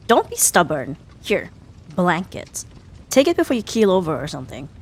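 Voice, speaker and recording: A woman playing a sharp-tongued young female rival in an ASMR roleplay, huffy and sarcastic but grudgingly caring. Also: mid-range voice. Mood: bossy, grudging concern. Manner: sarcastic, huffy, theatrical sighs and drawn-out jabs. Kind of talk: ASMR roleplay audio drama addressed to the listener.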